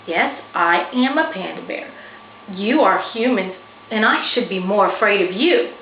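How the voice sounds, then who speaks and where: A woman voicing a lost young female panda bear in a children's storybook, gentle and excitable. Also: mid-range voice, measured pace. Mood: gentle, wistful. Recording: roomy, slightly dull, faintly noisy recording.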